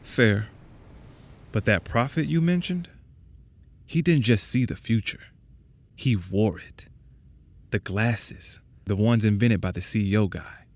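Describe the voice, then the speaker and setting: A man's voice: fairly low pitch, slow, pauses often. A man playing a laconic, probing confidant in an audio drama, speaking in terse, clipped phrases. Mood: pensive. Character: laconic, probing male confidant who follows conspiracies. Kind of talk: audio drama, conversational dialogue.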